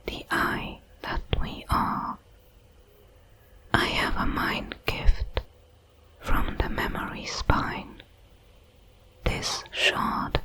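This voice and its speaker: A solemn, curious alien shard of a hive mind, played by a woman, speaks in a sci-fi ASMR audio drama. The delivery is a bright, breathy whisper, extremely slow and deliberate. It halts over its words as if its mind were new.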